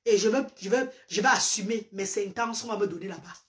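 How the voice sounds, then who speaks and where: A woman's voice: medium pitch, a little fast, a bit bright. A woman, a Medumba speaker, giving a fervent, preacherly live-stream address full of emphatic repetitions. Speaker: live-stream host and parent advocate. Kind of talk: impassioned social-media live address. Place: small indoor room, seated on a sofa. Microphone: phone camera at close range.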